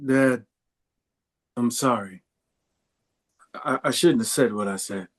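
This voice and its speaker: A man's voice: mid-range voice, slow. A man acting a grown son on his wedding day in a script reading. He is anxious and tender, with an ordinary mid-range voice. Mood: apologetic. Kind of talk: live screenplay table read.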